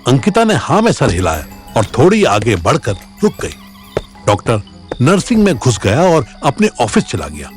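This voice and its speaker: Indian male narrator for scripted audio drama, mid-pitched, hugely animated delivery. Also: moderate pace, soft background music.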